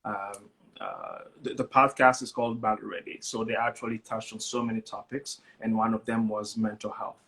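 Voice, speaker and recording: A man talking as a guest in a live conversation, relaxed and hesitant, often repeating a word before he gets going. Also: on the low side, moderate pace, gravelly. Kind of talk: casual heartfelt conversation. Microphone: live stream device mic.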